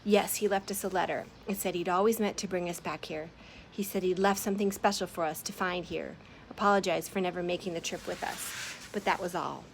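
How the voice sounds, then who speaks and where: A woman's voice: fairly even intonation, brisk, creaky, a little hiss or hum. A woman reading an audiobook, voicing an angry young man who snarls and shrieks. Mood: bitter, snarling. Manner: snarling, shrill outbursts.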